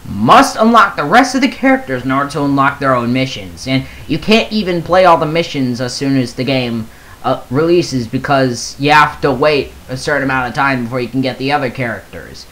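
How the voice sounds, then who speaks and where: Casual gaming commentator with swinging, lively pitch. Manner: halting, stumbles over words while reading. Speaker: gaming video creator. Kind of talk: casual commentary, reading aloud a post.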